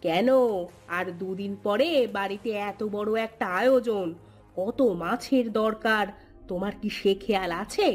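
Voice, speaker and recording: A woman voicing a sharp-tongued landowner's wife in a cartoon, scolding with pointed, sarcastic questions. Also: mid-range voice, measured pace, soft background music, natural voice. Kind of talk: Bengali cartoon voice acting.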